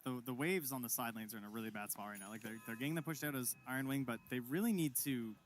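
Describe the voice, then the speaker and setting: A man's voice: medium pitch, fast talker, slight background noise. A man giving live analytical commentary on an esports match, explaining how the game is developing.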